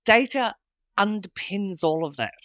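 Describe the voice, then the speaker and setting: A woman's voice: medium pitch, expressive, unhurried, a bit bright, no room sound. Confident Australian businesswoman, dry sound. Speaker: guest, hospitality executive. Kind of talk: interview answers.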